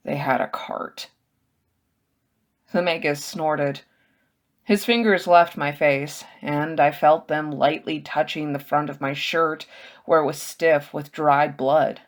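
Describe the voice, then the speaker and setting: A woman reads a story aloud in a low voice. She takes her time, pacing the prose slowly and deliberately, with an expressive storyteller's delivery. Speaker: audiobook reader. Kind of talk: scripted fiction narration, reading a novel aloud.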